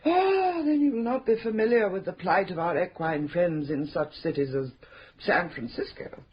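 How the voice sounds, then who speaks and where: An actress playing a prim, mischievous, scheming spinster who runs a charity, in a radio drama. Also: female voice, fairly low pitch, animated, moderate pace, breathy edge. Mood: grandly earnest. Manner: arch, coy, prim and mischievous. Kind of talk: 1970s radio drama acting. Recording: dull slightly noisy radio drama recording.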